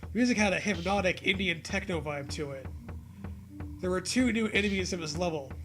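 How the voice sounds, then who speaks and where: A man's voice: high-pitched, a little fast, fairly bright tone, faint music behind. A man reading a story aloud for comedy, breaking off to swear and riff casually.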